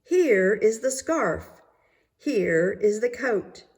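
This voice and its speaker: A woman voicing a sly, flattering swindler weaver from a storybook, with a gushing, showy delivery. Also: medium pitch, very slow, pitched above the performer's own voice. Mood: smooth flattery. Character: sly flattering rogue weavers presenting invisible clothes. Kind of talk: storybook character voice.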